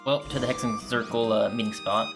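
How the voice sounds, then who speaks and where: A man's voice: fairly low pitch, fairly even intonation, fairly quick. A man giving casual, rambling let's play commentary as a gameplay streamer, with game music behind him.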